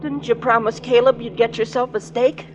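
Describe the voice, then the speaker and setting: A woman's voice: on the high side, fairly even intonation, normal speed, natural voice. A woman playing an eager, earnest young sidekick to a detective in 1940s radio drama style. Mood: worried, insistent. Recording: noisy old radio recording.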